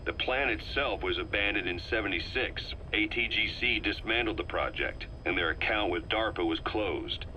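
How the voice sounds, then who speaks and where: A shrewd, calculating male intelligence operative laying out a situation in a video game tape. He talks in a low, measured, earnest way with a sharp, forceful tone. A faint hiss runs underneath. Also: low-quality recording.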